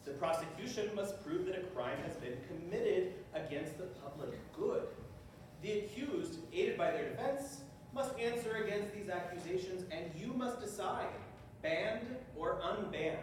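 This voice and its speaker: A man's voice: high voice, lively intonation, unhurried. A man playing a self-assured, wry judge in a stage reading, speaking in a formal, declamatory way. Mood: formal, pompous. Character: Judge Jubal Harshaw, self-assured, wry literary courtroom judge. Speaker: stage actor. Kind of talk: theatrical readers theater monologue. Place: stage in an echoey room. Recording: very echoey hall, distant mic, noisy.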